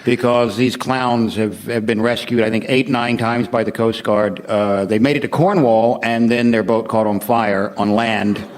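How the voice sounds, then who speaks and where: A man's voice: on the low side, steady tone, measured pace, few pauses, a little hiss or hum. A man telling a rambling comic story as a panel show guest, sounding incredulous.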